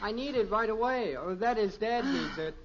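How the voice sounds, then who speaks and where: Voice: mid-range voice, expressive, moderate pace, lightly acted. A performer playing an eager, earnest, boyish teenage son in a 1950s radio sitcom. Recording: muffled, faintly noisy vintage radio recording.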